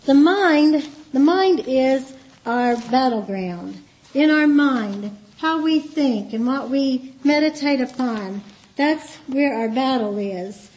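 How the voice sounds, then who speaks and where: A woman's voice: high voice, lively intonation, slow pace, poor audio. Light-voiced woman giving a church talk. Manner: warm, chatty storytelling with soft laughs and asides. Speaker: guest speaker. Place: meeting room with a board.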